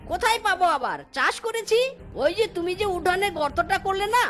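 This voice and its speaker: Casting: sly cartoon fox, male trickster, piercingly high and bright, cackling and cheeky, light music underneath. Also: expressive, a little fast. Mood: gleeful. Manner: gleeful, taunting, cackling. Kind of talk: exaggerated cartoon dialogue. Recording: music behind the voice.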